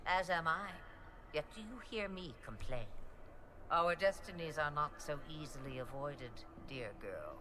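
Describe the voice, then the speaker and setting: A woman playing an ancient, cryptic witch mother in a video game, speaking in a deliberate, theatrical and ominous way. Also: low-pitched, expressive, background noise. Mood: coolly imperious. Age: older. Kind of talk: dramatic video game acting.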